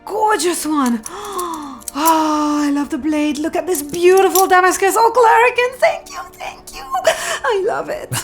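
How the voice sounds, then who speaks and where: A woman's voice: very high voice, sing-song, unhurried, hardly any pauses, faint music behind, full character voice. A young woman acting an independent, affectionate cat burglar in an audio drama, candid and peppering her talk with French phrases. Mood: delighted. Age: young adult.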